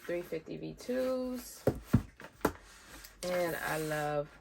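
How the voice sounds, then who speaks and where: A woman's voice: expressive, drawn-out words, creaky, very noisy. A woman vlogger, chatting casually through a sneaker unboxing, a little nasal from a head cold. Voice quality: deep voice. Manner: chatty, rambling with fillers.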